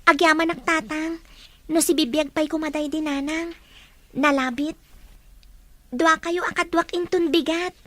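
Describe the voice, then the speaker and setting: A woman's voice: sits high, steady tone, a little slow, pauses often, sharp tone, no room sound. A woman acting a grateful, dutiful teenage eldest daughter in an Ilocano radio drama, with a tender, girlish delivery. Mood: earnest. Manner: tender, earnest. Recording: music bed under the dialogue.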